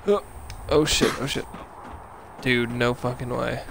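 Gravelly excitable man swearing at a game. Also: medium pitch, measured pace, a little hiss or hum. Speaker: streamer. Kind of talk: casual let's play commentary.

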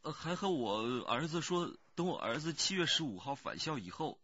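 Anxious, husky village man. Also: a little high, expressive, moderate pace, a bit bright, muffled recording. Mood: cooperative, explaining. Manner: earnest, defensive, hesitant. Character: anxious rural working man, murdered girl's cousin, pleading earnestly. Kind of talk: radio crime drama acting.

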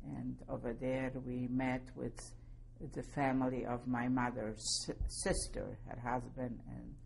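A woman in her seventies from Poland, giving oral history testimony slowly and carefully, spelling out names. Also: extremely low pitch, little pitch movement, vocal fry, darkish tone, a little hiss or hum. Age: 70s. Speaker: interviewee.

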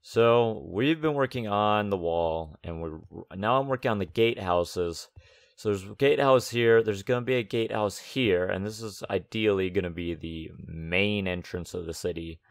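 Low-pitched, raspy man with gravelly creak. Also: moderate pace, dry recording, clean studio sound. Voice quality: slightly raspy. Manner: unscripted, thinking aloud. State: recovering from a lost voice. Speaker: host of a gaming let's-play series. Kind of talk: casual game build commentary.

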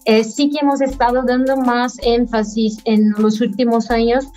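Woman guest pausing often on a video call. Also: fairly high pitch, level tone, mellow, faint music behind. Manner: measured, halting delivery with frequent pauses. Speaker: guest, affiliate manager. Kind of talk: business interview answers. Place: indoor room at home, by video call. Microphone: webcam on a video call.

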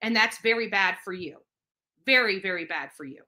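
A woman's voice: medium pitch, animated, normal speed, bright, forceful tone. A middle-aged woman giving indignant, emphatic commentary straight to camera, repeating phrases for emphasis. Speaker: livestream host. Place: indoor living room on a couch.

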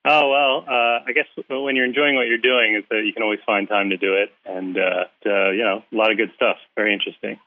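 A guest author from the Philadelphia area chatting in an interview, hesitant with lots of uhs but friendly. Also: male voice, fairly low pitch, steady tone, a little fast, few pauses, no echo. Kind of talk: casual interview chat. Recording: tinny phone-like line, dry.

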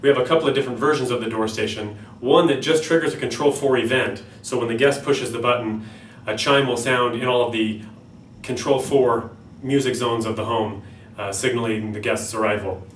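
A man in his thirties with a North American accent, giving an explanatory product demonstration in a somewhat halting way. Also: mid-range voice, steady tone. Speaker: company product presenter. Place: small plain-walled room indoors. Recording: roomy, distant mic, faint background noise.

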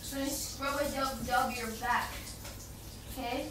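A woman's voice: high-pitched, fairly even intonation. A young woman playing a playful, affectionate, teasing girlfriend in an intimate ASMR roleplay, coaxing in a girlish murmur. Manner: giggly, coaxing, unhurried murmuring with pauses. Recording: echoey, distant, noisy with running bathwater.

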